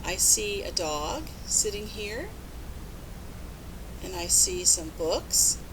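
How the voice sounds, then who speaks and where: A woman reading a picture book aloud to young children, expressive and instructive, pausing to put questions to her listeners. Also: medium pitch, noisy background. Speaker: storybook reader.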